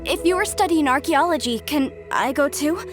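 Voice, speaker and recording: A woman's voice: high voice, moderate pace, natural voice. A woman voicing a timid, eager young scholar who stammers when caught off guard. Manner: hesitant, stammers when surprised. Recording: game audio with music.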